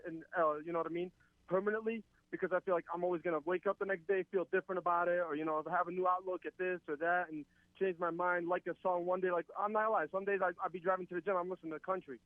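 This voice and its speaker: Fast-talking young man on a tinny call. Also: sits high, dry recording. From Palestine. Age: 20s. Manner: rambling, filler-heavy, upbeat. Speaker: guest MMA fighter. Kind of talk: casual interview over a remote call. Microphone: remote call. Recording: tinny phone-like line.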